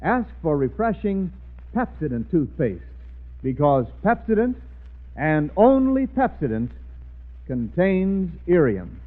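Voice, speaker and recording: A man's voice: fairly high pitch, sing-song, slight background noise. Tinny 1940s American radio announcer, male. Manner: emphatic, polished, deliberate stresses. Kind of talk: 1940s radio advertising pitch. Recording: tinny, band-limited 1940s radio recording.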